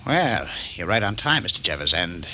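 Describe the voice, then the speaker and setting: A demanding conspirator: low, forceful, clipped, vintage radio crime acting. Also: male voice, big pitch swings, moderate pace, exaggerated voice. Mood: conspiring. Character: scheming, demanding man hiring a killer. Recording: vintage 1940s radio recording.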